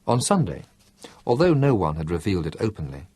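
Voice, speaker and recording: A man narrating a novel as an audiobook, in a measured, expressive storytelling manner. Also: low-pitched, husky, no echo. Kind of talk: scripted narration.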